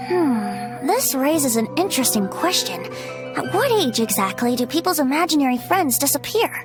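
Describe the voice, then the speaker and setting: A woman's voice: sits high, big pitch swings, normal speed, music in the background. A woman voicing a whimsical, theatrical young bard in a video game, with a playful, flowery, musing manner.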